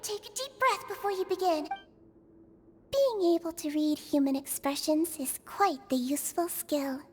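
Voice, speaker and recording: A woman playing a poised, softly teasing lady in a video game, with a gentle, knowing manner. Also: extremely high pitch, a little slow, long reverb tail, noisy background. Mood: sly, soothing.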